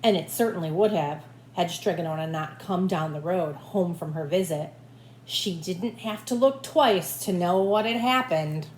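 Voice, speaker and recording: A woman teacher reading a picture book aloud in an animated way, with asides to young listeners. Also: lowish voice, a little slow. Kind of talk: expressive children's read-aloud with asides to listeners. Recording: echoey room with faint background noise.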